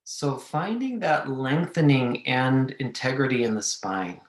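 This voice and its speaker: A man leading a guided meditation, giving gentle, measured instructions with long pauses. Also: mid-range voice, animated. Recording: echoey room.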